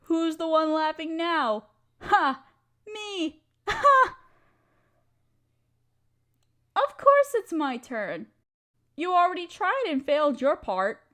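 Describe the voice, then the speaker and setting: A woman's voice: extremely high pitch, very animated, takes it slowly, fairly bright tone, full character voice. A woman playing a playful, affectionate wife who loves poetry, theatrical and teasing, in a romantic audio roleplay. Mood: playfully gloating.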